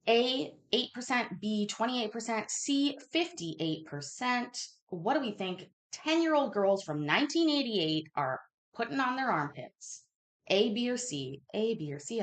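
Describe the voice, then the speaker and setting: A woman in her thirties hosting a casual livestream quiz, reading questions aloud and chatting candidly, swearing and interrupting herself. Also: mid-range voice. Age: 30s. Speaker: host of a live online trivia chat.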